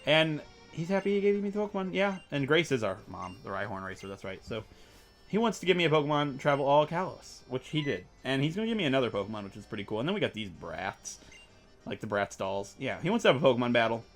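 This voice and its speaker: A man's voice: mid-range voice, very animated, fast, faint music behind. A man giving rambling, casual commentary over a video game he is playing, full of asides and filler words. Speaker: let's play host.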